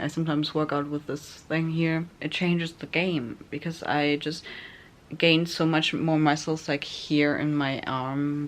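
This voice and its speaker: A young man giving a casual personal update to camera, speaking hesitantly with many filler words and a soft, low-key delivery. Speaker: vlogger.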